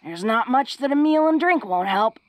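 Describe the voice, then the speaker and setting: A woman playing a big, tough young orc who is secretly awkward and tender, speaking haltingly and intimately to the listener in a romantic audio roleplay. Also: on the high side, lively intonation, no room sound, clean studio sound, close to an everyday voice. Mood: friendly, hearty. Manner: bashful, halting, breaking into soft chuckles. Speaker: voice actor.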